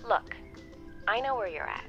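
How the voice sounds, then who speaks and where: A woman's voice: mid-range voice, huge pitch swings, normal speed, creaky, highs cut off, soft background music. A woman with an American accent playing a brash, breezy friend and mother in an audio drama, chatty and slangy. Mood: brisk, caring. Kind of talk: naturalistic audio drama acting.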